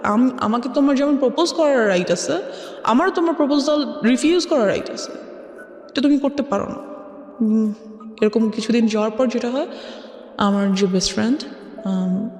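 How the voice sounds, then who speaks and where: A woman's voice: a little high, normal speed, a little mellow. A woman with a Bangladeshi accent telling a personal story as a guest in a conversational way, often switching into English. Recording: echoey long reverb, music bed. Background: background music.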